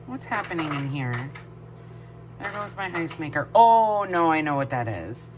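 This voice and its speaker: A woman chatting casually to her camera as a home vlogger, a bit stuffy-nosed, rambling along with soft asides. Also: deep voice, big pitch swings, unhurried, slight background noise. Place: kitchen at home, indoors.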